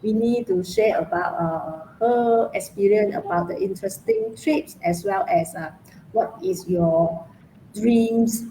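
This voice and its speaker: A woman hosts a casual live chat, leaning on many fillers as she goes. Her voice is recorded in a noticeably roomy space. A faint rumble sits underneath. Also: unhurried, mellow. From Malaysia. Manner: friendly, filler-heavy hosting. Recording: roomy echo.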